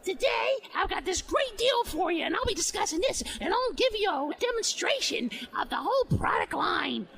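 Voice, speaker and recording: A woman voicing a manic, wisecracking goblin salesperson in an exaggerated, giggly pitch style for a comedy skit. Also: big pitch swings, moderate pace, vocal fry, hardly any pauses, fairly bright tone, exaggerated voice. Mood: peppy pitching. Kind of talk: comedy skit in a roleplay podcast.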